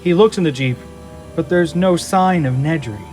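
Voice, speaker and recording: A man's voice: fairly high pitch, moderate pace, darkish tone. A man reading scripted dramatic narration for an audio drama in a suspenseful storytelling manner. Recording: music and effects behind narration.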